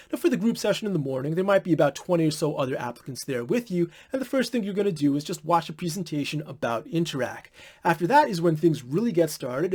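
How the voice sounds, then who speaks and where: A young American man talks straight to the camera at a brisk clip, frank and unvarnished, with now and then a curse word. His voice sits a little high for a man. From United States. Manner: blunt, candid, occasional swearing. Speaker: vlogger. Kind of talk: informal advice monologue.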